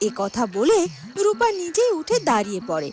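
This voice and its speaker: A woman's voice: high voice, huge pitch swings, fairly quick. A woman reading scripted narration as the storyteller of a cartoon tale. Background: music.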